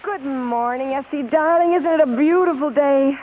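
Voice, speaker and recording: A woman's voice: high voice, moderate pace, dull audio, over loud music, natural voice. A woman playing a devoted, encouraging stage-singer wife, coaxing and spirited, with an American accent in 1950s radio drama style. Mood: happy. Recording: hissy, crackly old radio broadcast.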